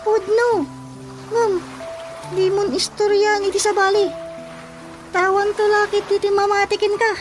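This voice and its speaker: Talking dog, radio comedy: extremely high voice, deliberate pace, emphatic and self-important. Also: female voice, little pitch movement, over music. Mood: insistent. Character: talking pet dog, cheeky, demanding respect from humans. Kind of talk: radio comedy drama acting.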